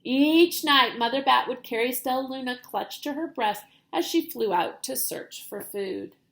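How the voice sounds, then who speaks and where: A woman with a high voice reads aloud as a primary-style teacher would. Her delivery is expressive and dramatic, shaped for children following a storybook. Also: unhurried. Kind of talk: children's picture book read-aloud.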